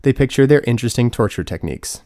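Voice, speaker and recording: A man's voice: medium pitch, animated, quick pace, warm tone, dry recording, studio quality, close to an everyday voice. Indignant young college woman, as a male narrator reads her. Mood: scornful. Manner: pointed, argumentative.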